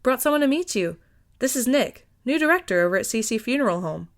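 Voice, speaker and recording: A woman's voice: on the high side, animated, fast talker, pitched above the performer's own voice. A woman voicing a cheerful, goofy young man in an audiobook, playful and wheedling.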